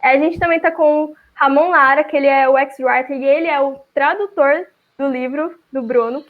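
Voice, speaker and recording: A woman's voice: high-pitched, fairly even intonation, moderate pace. A Brazilian woman hosting a live online event, chatty, with many fillers and restarts. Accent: Brazilian Portuguese. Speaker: event host, chapter leader. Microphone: video call. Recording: lo-fi online call audio.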